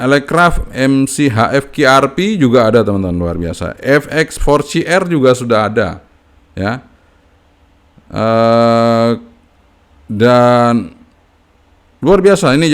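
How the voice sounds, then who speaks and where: A man's voice: mid-range voice, clear, resonant tone. A man giving a casual, unscripted step-by-step tutorial as a hobbyist, in an informal manner with frequent checking tags.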